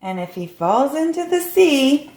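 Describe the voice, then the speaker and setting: A woman's voice: high-pitched, big pitch swings, unhurried, darkish tone. A woman reading a storybook aloud to young children. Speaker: storybook reader. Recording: roomy, echoey recording.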